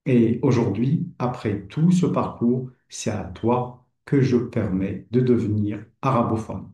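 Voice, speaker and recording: Calm, mellow-voiced man in an echoey room. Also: mid-range voice, slow pace. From Kabylie, Algeria. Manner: addresses the listener informally, calm and persuasive. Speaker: Arabic teacher and platform founder. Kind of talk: scripted promotional presentation.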